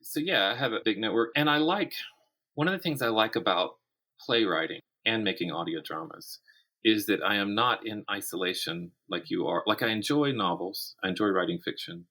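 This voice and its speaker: A middle-aged man with a low, husky voice that still carries a bright, forward edge. He talks conversationally as an interview guest, thinking aloud and restarting phrases as he goes. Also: moderate pace. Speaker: guest, writer and academic. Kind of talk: conversational interview about writing craft.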